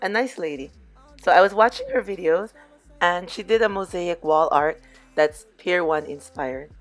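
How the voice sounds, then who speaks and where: A middle-aged woman with a hoarse voice, chatting casually as she walks viewers through a do-it-yourself crafts project. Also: lowish voice, moderate pace, soft background music. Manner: relaxed, chatty, addresses viewers as "you guys". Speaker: DIY crafts vlogger. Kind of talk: casual crafting tutorial voice-over.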